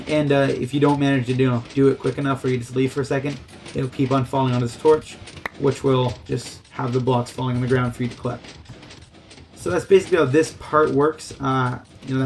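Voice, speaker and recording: A man's voice: medium pitch, gravelly, a little mellow, a little hiss or hum. A man giving a casual, unscripted game tutorial, explaining things in a loose, hesitant way. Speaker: gaming tutorial host.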